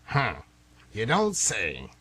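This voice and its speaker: Man acting an excitable, husky old baseball fan. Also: medium pitch, extremely slow, exaggerated voice. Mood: grudging. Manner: folksy, rambling, emphatic storytelling. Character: excitable nostalgic older American man, lifelong baseball fan. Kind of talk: amateur radio play acting. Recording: amateur radio broadcast.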